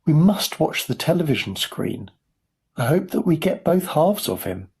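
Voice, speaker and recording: Storybook eccentric inventor, male, acted by a man narrator. Excitable showman, raised pitch, very animated, slightly husky. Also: normal speed, full character voice. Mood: alarmed. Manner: exclamatory, theatrical.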